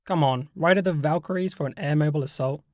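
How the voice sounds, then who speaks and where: A man's voice: on the high side, fast, a little mellow, no echo, clean studio sound, close to an everyday voice. A man voicing a blunt, amused male army sergeant in an audio story, casual and scoffing.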